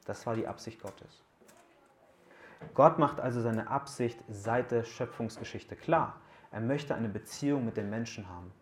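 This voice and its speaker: A man's voice: medium pitch, measured pace. A man in his thirties gives a casual talk to young people at a camp, joking and asking the audience questions. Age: 30s. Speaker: youth speaker at a camp. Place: room with a live audience.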